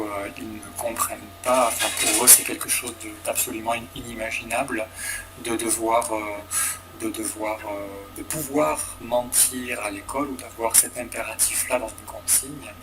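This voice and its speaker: A man's voice: fairly low pitch, fairly even intonation, normal speed, music in the background, poor audio. A man teacher reflecting on his students' writing, searching for words as he goes. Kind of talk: interview testimony.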